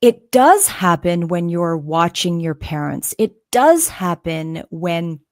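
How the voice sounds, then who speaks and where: A middle-aged woman with a low, measured voice, speaking at an unhurried pace. She answers interview questions earnestly, leaning into her points with emphatic storytelling. The sound is close and dry. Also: big pitch swings, no room sound. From Canada. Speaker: guest, former news anchor.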